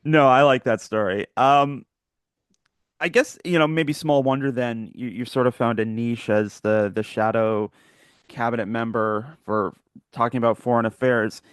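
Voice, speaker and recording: A man's voice: medium pitch, steady tone, unhurried, clear, resonant tone, no room sound, clean studio sound. A man hosting a casual political interview. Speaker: interview host.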